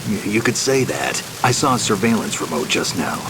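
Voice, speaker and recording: A man playing a gruff, terse, wary middle-aged covert soldier in a video game, with a gravelly growl. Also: lowish voice, big pitch swings, moderate pace. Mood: wary, businesslike. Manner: terse, clipped. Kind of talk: video game cutscene voice acting. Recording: heavy rain and thunder noise. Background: rain, thunder.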